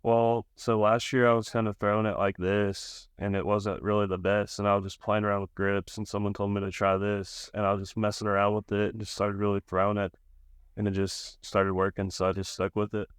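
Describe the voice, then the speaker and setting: A young man speaks in a clean, resonant voice with very little melody, recorded dry and close. He answers interview questions casually, in a plain-spoken, low-key way. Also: level tone, a little fast, clear, resonant tone, no echo. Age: young adult, about 20. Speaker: college baseball pitcher being interviewed.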